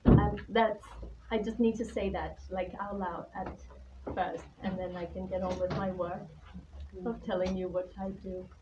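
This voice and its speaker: A woman's voice: on the low side, fairly even intonation, measured pace. Hesitant woman, recorded from a distance. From Taiwan. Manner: nervous, hesitant, self-conscious, candid. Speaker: artist-in-residence guest speaker. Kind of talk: informal spoken presentation about own life and work. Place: live talk at an art venue. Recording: distant mic, dull sound, faint background noise.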